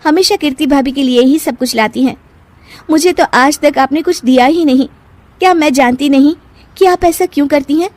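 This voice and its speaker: Woman acting a feisty, high-voiced young bride. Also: lively intonation, normal speed, a little mellow, no room sound. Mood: resentful. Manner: feisty, argumentative. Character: defiant, sharp-tongued young newlywed daughter-in-law. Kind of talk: cartoon family drama acting.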